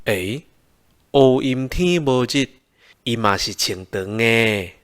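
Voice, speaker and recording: A man's voice: mid-range voice, slow pace, a bit bright, clean tone. An actor playing a puzzled male scientist in a Taiwanese radio drama, querying and objecting. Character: puzzled male scientist questioning his older sister.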